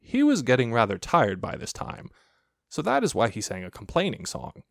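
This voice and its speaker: Animated male children's storybook narrator, close dry sound. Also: mid-range voice, normal speed, creaky, no room sound. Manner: expressive, playful storytelling. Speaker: audiobook reader.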